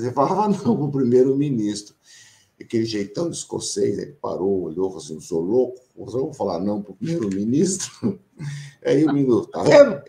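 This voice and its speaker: A man's voice: medium pitch, a little mellow. A middle-aged Brazilian man with a São Paulo countryside accent, a professor reminiscing casually, laughing often and acting out quoted dialogue. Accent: Brazilian Portuguese, São Paulo countryside. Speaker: professor and association founder.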